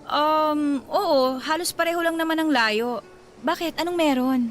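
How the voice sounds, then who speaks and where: An actress playing a concerned, outspoken younger sister in a Filipino radio drama, probing and expressive, with a Filipino accent. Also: female voice, sits high, a little slow, faint music behind. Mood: curious. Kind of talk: Tagalog radio drama acting.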